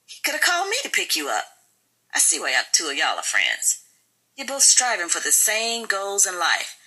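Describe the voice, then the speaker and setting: A woman's voice: medium pitch, huge pitch swings, normal speed, pushed and bright, breathy edge, pitched above the performer's own voice, heavily acted. A woman voicing an angry, accusing wife in an audiobook, with biting, sarcastic, colloquial delivery. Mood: bitter, mocking. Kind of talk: audiobook character dialogue.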